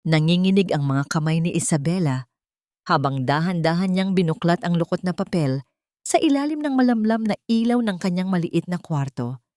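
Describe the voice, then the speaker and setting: A woman's voice: fairly low pitch, animated, normal speed, mellow, no room sound, clean studio sound. A woman reading a scripted story as an audiobook narrator, in a dramatic storytelling style.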